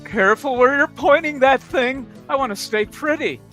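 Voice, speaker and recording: A man's voice: very high voice, normal speed, bright, forceful tone, soft background music. A man in a table read, chatting casually and reading lines with dry humor. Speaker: cast member in a table read. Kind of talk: casual chat and amateur script reading.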